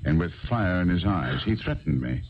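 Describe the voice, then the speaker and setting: A shrewd, unflappable detective in an old radio play, asking probing questions with dry irony. His voice is very low yet moves through a lively range. The broadcast sounds dull and a little hissy. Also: moderate pace, vocal fry. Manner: calm, dryly ironic, probing questions. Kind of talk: 1970s radio drama acting.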